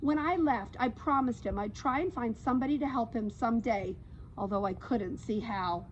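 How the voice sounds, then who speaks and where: A woman voicing a kindly old female cat in a storybook, telling tales in an earnest, unhurried way. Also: a little high, muffled recording, slight background noise, natural voice. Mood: earnest, sympathetic.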